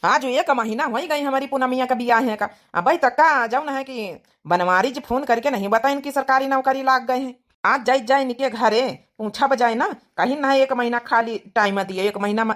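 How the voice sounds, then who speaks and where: Woman playing protective young village brother, hot-headed, Awadhi accent, fairly high voice, argumentative cartoon delivery. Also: moderate pace, fairly bright tone, close to an everyday voice. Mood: worried, determined. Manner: insistent, argumentative, colloquial. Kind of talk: cartoon family comedy drama voice acting.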